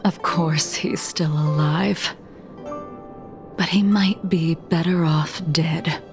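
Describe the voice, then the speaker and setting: A woman voicing a cold, stoic immortal swordswoman in a video game, measured and grave with a breathy edge to the voice. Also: fairly low pitch. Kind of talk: dramatic game dialogue.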